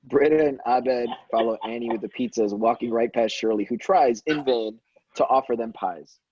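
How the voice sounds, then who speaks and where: A man narrating stage directions at a table read, in dull low-fidelity sound. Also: normal speed, a little mellow, slightly rough, no room sound. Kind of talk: reading screenplay directions aloud.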